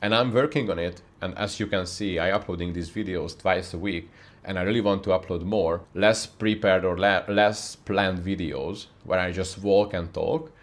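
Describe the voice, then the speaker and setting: A young man speaking English as a second language, talking earnestly to camera in a home studio, often restarting his sentences. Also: deep voice. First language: not English. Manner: earnest, frequent restarts, non-native phrasing. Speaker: YouTube creator. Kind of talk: unscripted talking-head vlog. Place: dark room, home studio with softbox. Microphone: camera mic facing the speaker.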